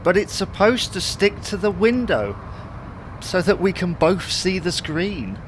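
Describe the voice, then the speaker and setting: A grumbling British husband acted by a man, on the high side for a man, with very lively intonation. He is long-suffering and placating in a domestic audio comedy. A little car noise sits behind him. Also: a little slow.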